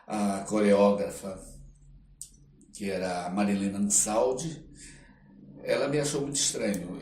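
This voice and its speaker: A Brazilian man from the Amazon telling anecdotes casually as an interview guest, acting out dialogue and often tagging phrases with "né". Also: lowish voice. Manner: animated, acts out dialogue, halting with "né" tags. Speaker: guest artist, actor telling life story. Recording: roomy echo, distant mic, faint noise.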